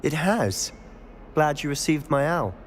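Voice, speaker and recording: A man's voice: fairly high pitch, expressive, unhurried. A man playing a sly, self-assured teenage wizard schoolboy in a video game, casual in manner. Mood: confident, friendly. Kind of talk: video game cutscene acting. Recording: reverberant hall, faint background noise.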